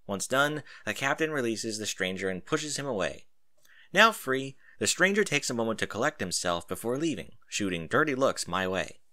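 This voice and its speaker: A man narrating a first-person story in an animated audiobook style. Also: mid-range voice, moderate pace, no room sound, clean studio sound.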